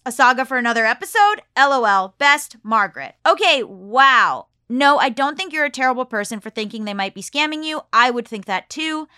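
Female podcast host, American, higher-pitched bright voice, informal and effusive, casual chat and mail reading. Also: smooth, dry recording, clean studio sound.

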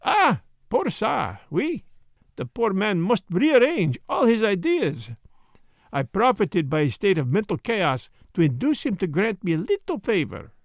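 A man's voice: high-pitched, huge pitch swings, measured pace, creaky, dry recording, clean studio sound, pitched above the performer's own voice, exaggerated voice. A man voicing a fussy, self-satisfied Belgian detective in an audiobook, speaking with a put-on French accent in a precise, mannered way. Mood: smug, teasing. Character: fussy, smug middle-aged male Belgian detective. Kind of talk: audiobook character voice.

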